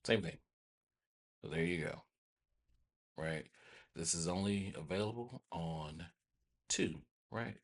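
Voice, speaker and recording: An American man with a husky voice hosting a casual software tutorial, rambling informally and often checking in with listeners. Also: sits low, slow pace, pauses often. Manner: informal, rambling, repeats check-in tags like "right?" and "okay?". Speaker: host of a tech tutorial channel.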